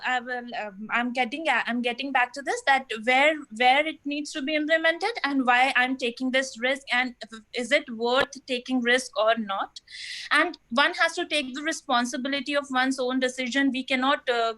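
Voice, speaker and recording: A woman's voice: high-pitched, sharp tone. A woman on a video call from home giving earnest summary comments on a talk, with many fillers and restarts. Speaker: discussant in an online webinar. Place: home, toddler nearby.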